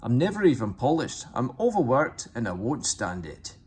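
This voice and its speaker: A man's voice: mid-range voice, big pitch swings, moderate pace, a little mellow, breathy edge. Guy doing a grumpy storybook train engine, mutters and moans, stubborn, really feels hard done by. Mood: grumbling. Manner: complaining, muttering, obstinate. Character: sulky, grumbling male tank engine with a grievance.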